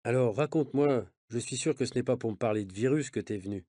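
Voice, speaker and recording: Man reading dialogue in measured tones. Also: mid-range voice, dark tone, no echo. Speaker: audio reader of a learner's novel. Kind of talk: reading dialogue for language learners.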